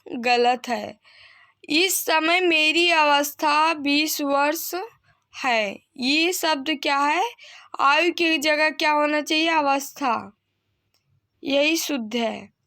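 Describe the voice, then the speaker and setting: A woman's voice: high voice, sharp tone. A woman teacher giving a grammar lesson, repeating example sentences didactically and answering her own questions. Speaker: Hindi grammar teacher for exam candidates. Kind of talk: instructional lesson, reading sentences and correcting them.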